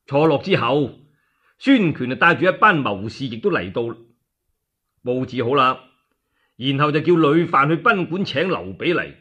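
Animated, husky Cantonese male storyteller. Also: mid-range voice, normal speed, pauses often. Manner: animated, chatty asides to listeners. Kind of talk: dramatic colloquial radio storytelling. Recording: dull lo-fi radio recording.

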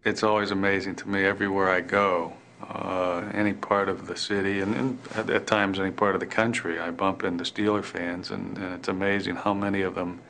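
A man's voice: low-pitched, level tone, a little fast, vocal fry, few pauses, fairly bright tone, soft background music. A middle-aged man with an American accent talking in an interview. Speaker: grandson interviewee. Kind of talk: interview talk.

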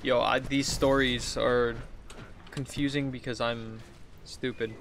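A man's voice: medium pitch, level tone, slow pace, fairly bright tone. A man in his thirties giving casual, rambling game commentary, talking to himself and reading quest text aloud. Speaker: gaming video host. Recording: clear background noise, game ambience.

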